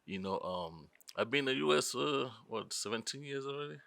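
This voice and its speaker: A man's voice: mid-range voice, lively intonation, fairly quick, gravelly, bright, forceful tone, dry recording. A man from Cameroon answering questions about his video work, hesitant and laughing often. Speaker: video director. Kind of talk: casual interview answers.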